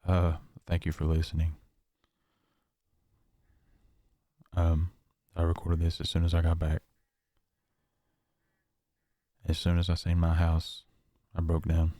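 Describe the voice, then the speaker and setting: A haunted, paranoid survivor, acted by a man, leaves a horror audio log in a very low, dark voice. He speaks in halting, confessional phrases with long pauses, keeping to a monotone. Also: dry recording, clean studio sound. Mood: shaken, apologetic. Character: shaken, paranoid male survivor of a nightmare realm.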